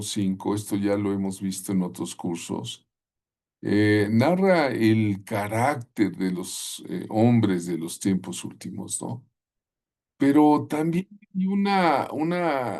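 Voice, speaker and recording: Middle-aged male Bible teacher, low warm voice, deliberate delivery that invites listeners to repeat lines. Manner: deliberate, with frequent "eh" and tag questions; calls on listeners at home to repeat phrases. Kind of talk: preaching-style Bible lesson.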